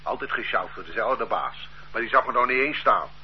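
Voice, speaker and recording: A man's voice: mid-range voice, brisk, natural voice. An actor playing an easygoing older man who loiters on the street, chatty and bewildered by accusations in a radio drama. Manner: lively, chatty, homely, protesting. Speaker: suspect. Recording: dull lo-fi radio play recording, faint hiss.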